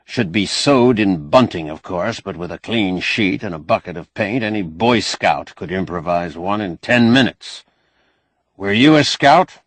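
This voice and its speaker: A man's voice: sits low, expressive, a little slow, no room sound. A man voicing a blunt, folksy old man who bargains shrewdly and sardonically in an audiobook novel. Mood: brisk, demanding. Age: older. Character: crusty, shrewd old man, bossy, wry negotiator.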